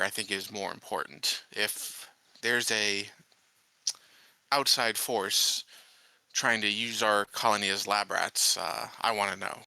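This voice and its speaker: A man playing a tabletop roleplaying game, improvising in character in a measured, halting way. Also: on the low side, vocal fry, sharp tone, no room sound.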